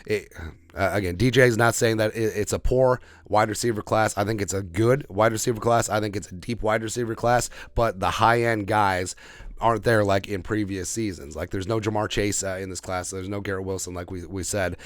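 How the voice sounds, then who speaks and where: A man with a fairly low voice talks through football draft opinions as a podcast host. He is emphatic and speaks off the cuff, often restating a point to drive it home. The sound is dry, with very little room to it. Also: steady tone, brisk, no room sound.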